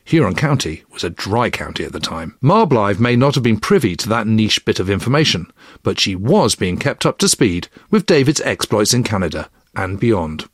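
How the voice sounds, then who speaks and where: A man's voice: fairly low pitch, sing-song, normal speed, slightly rough, no echo, clean studio sound. A man narrating a scripted history programme in an informal, conversational way. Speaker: podcast narrator.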